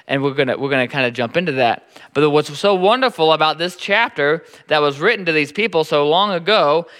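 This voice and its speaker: A pastor in his thirties with a Nebraskan accent preaches in a relaxed, stop-and-start way, often doubling his words. His voice is forceful and smooth, sitting a little high for a man. The sound hangs in a church hall with a long reverb. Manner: halting, repeats words often. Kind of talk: sermon.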